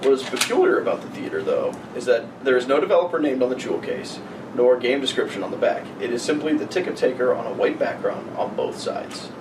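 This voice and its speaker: A man's voice: medium pitch, sing-song, a little fast. A young man, chatting casually with friends and reading a story aloud. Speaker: podcast co-host. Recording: roomy, noisy, far from mic.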